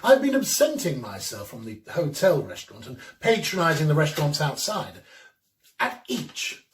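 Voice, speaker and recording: An actor in a dramatic reading, playing a lonely, timid, middle-aged academic who muses in a hesitant, fussy, precise way. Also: male voice, fairly high pitch, breathy edge, full character voice. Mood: puzzled, fretful. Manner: hesitant, musing, theatrical, precise wording. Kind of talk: performed dramatic reading of a classic ghost story, first-person monologue.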